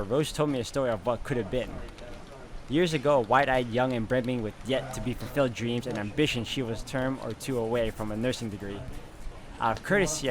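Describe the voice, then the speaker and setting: A man hosting a gaming video, reading visual novel narration aloud. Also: medium pitch.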